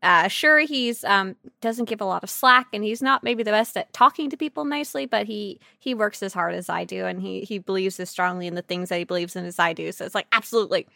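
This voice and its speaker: A woman co-hosting a silly, casual podcast about video game characters, chatting in an excitable, giggly and animated way. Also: medium pitch, a little fast, creaky, hardly any pauses, no room sound, studio quality. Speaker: podcast co-host.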